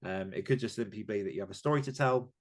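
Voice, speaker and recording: A man's voice: on the low side, fast. A man talking as an interview guest, giving informal, candid and emphatic advice as an author in a conversational style.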